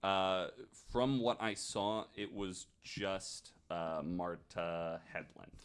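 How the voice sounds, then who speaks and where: Deep-voiced man telling ghost stories casually. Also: slow, clean tone. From United States. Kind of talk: casual storytelling chat.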